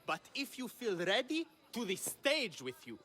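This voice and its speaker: Vain theatre director, high voice, very animated, grandiose declaiming, florid, video game cutscene. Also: male voice, measured pace, heavily acted. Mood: self-assured.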